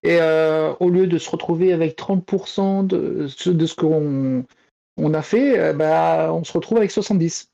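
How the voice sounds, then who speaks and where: A man on a podcast panel explaining things casually and haltingly, piecing his sentences together, over a dull voice link. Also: a little high, gravelly, warm tone.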